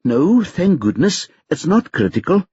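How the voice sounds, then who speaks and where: A man voicing a polite, brisk female hospital nurse giving news about a patient. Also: fairly high pitch, very animated, dark tone, husky, dry recording, muffled recording, pitched above the performer's own voice, exaggerated voice. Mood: helpful. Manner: polite, informative.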